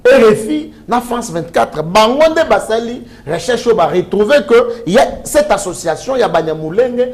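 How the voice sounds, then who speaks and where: A man's voice: high voice, lively intonation, normal speed, hardly any pauses. A man with a Congolese accent in a heated political debate as a panel guest, speaking emphatically and repeating himself. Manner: emphatic, repetitive. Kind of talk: heated political debate, mixing French and Lingala.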